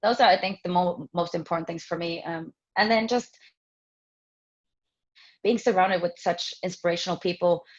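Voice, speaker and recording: Finnish-born woman, halting and thoughtful. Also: fairly low pitch, fairly even intonation, lo-fi sound. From Finland. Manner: hesitant, many ums and restarts, laughs often. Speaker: fitness trainer interviewee. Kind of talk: casual interview answers.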